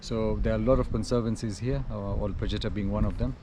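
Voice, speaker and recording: Low-voiced man, noisy outdoor recording. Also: steady tone, fast talker. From Nairobi, Kenya. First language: Gujarati. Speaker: safari camp manager. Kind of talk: interview answers, informative talk. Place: outdoors at a bush camp by a river. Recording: clear outdoor ambient noise, occasional vehicles.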